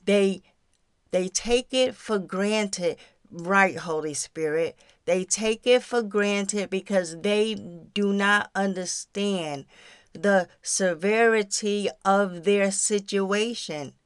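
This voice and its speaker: A woman hosts a faith podcast with an earnest, exhorting delivery. She takes her time over each phrase, at a medium pitch, speaking straight to her listeners as a devotional teacher would. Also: slow, smooth, dry recording, clean studio sound. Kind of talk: devotional talk and prayer.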